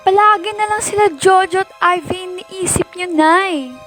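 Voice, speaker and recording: A very high-pitched teenage girl, acted by a woman, rebellious and resentful, argues her side in an amateur Filipino radio drama. Music plays behind her. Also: normal speed, a bit bright. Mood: resentful, argumentative. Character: rebellious, resentful teenage daughter, defiant toward mother. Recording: music bed behind dialogue.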